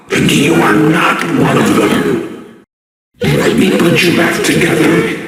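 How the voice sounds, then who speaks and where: A man playing a cold, calmly threatening animatronic tormentor in a video game. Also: fairly high pitch, heavy reverb, big-room sound, rough recording. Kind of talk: video game character voice lines.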